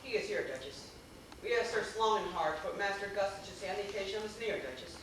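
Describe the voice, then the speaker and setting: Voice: mid-range voice, animated, quick pace, fairly bright tone, close to an everyday voice. An actor playing a deferential, fussy tutor in a stage play, with formal, wordy, obsequious delivery. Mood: deferential, pleased. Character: deferential, fussy household tutor serving a duchess. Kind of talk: amateur stage acting of a one-act play. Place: theater stage, picked up from afar. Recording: echoey, noisy camcorder recording from far off.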